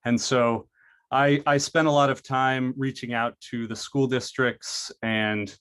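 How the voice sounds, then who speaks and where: A man's voice: mid-range voice, fairly even intonation, a little slow, a bit bright, smooth. An earnest young man in his college years, from Maine, presents his studies with a mid-range voice. He stumbles through fillers and repeated words as he goes. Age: young adult. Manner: earnest, frequent fillers and restarts. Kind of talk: prepared presentation.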